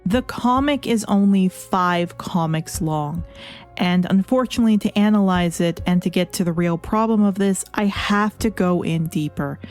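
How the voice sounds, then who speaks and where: A woman's voice: fairly low pitch, moderate pace, darkish tone, faint music behind. A woman narrating a scripted video review as a critic, speaking in an emphatic, theatrical manner. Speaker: comic reviewer.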